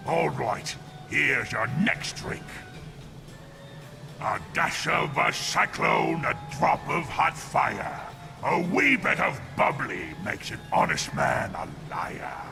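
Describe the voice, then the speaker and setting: A gravelly old undead pirate with a thick stage pirate accent. He talks slowly and theatrically, jolly and eccentric, in swooping tones made for a video game, with music behind him. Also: mid-range voice, big pitch swings, exaggerated voice. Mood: theatrical, relishing. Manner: archaic pirate speech, exclaiming heartily.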